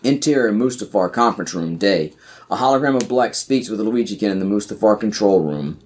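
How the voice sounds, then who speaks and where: A man's voice: on the low side, expressive, a little fast, a little mellow. A man narrating an audio play, reading out screenplay scene headings and stage directions.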